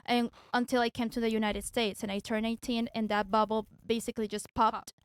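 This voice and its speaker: Young woman from Venezuela, English, hesitant with restarts, higher voice, smooth clear tone, dry close sound. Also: flat delivery, fairly quick, dry recording. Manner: hesitant, searching for words, many restarts. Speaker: guest. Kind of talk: casual debate talk.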